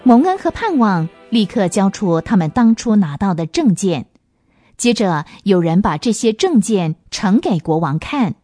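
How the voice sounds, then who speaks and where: A woman's voice: mid-range voice, very animated, unhurried, dark tone, no room sound, faint music behind. A woman narrating a radio drama as a storyteller, reading from a script.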